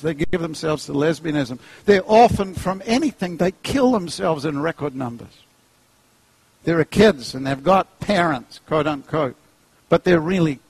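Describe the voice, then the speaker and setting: A middle-aged man with a New Zealand accent preaching an animated, humorous sermon, jumping into playful voices. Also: slightly rough, dry recording, poor audio. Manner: jokey, acts out characters, pitch leaps high.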